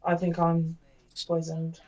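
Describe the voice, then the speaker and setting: A woman's voice: on the low side, monotone, slow pace, a little mellow, muffled recording. A woman playing a tabletop game, chatting and bantering casually with the group. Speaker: player.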